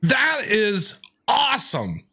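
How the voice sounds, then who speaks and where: Male streamer reacting to a game: high-pitched for a man, very lively, casual, faint music behind. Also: slow, slightly rough. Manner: enthusiastic, exclamatory.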